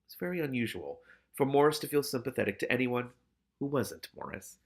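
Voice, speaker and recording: A man's voice: medium pitch, big pitch swings, fairly quick, vocal fry. A man narrating a story expressively as a reader and adding his own candid commentary.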